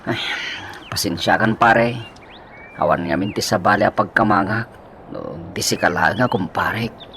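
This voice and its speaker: A man's voice: on the low side, fairly even intonation, measured pace, fairly bright tone. An actor playing a remorseful man who lost his family and house, pleading and stammering in a radio drama. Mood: sorrowful. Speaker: lead character. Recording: faint background noise.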